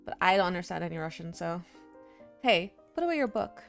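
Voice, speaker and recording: Woman streamer, slightly creaky voice over music. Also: fairly low pitch, sing-song, fairly quick, a bit bright. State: slightly under the weather. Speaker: streamer playing a horror visual novel. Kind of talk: casual let's-play commentary, reading game text aloud.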